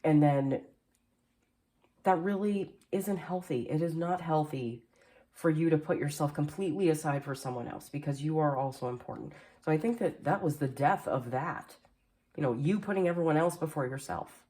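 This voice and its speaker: Chatty woman with a low, mellow voice. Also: moderate pace. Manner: chatty, filler-heavy, frequent "like" and "um". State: slightly nasal and hoarse from allergies. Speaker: tarot reader. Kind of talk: casual tarot reading voice-over. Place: home, voice-over behind the camera.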